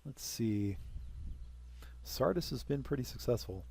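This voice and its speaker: A man's voice: medium pitch, lively intonation, unhurried, gravelly, dark tone, slightly rough. A young man casually commenting while playing a strategy game, rambling with self-deprecating jokes and asides. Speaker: let's play commentator.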